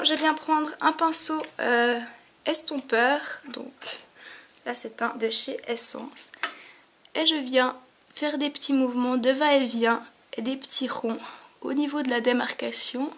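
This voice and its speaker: A woman's voice: high-pitched, steady tone, slow pace, long pauses, a bit bright. A young woman with a cold giving a chatty makeup tutorial at home, with many fillers. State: has a cold, sniffling. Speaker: beauty vlogger. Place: at home, in front of a mirror.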